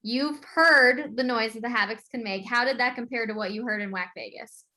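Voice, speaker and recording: Woman, bright forceful voice, fairly high pitch, conversational sports interviewer, podcast co-host. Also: moderate pace.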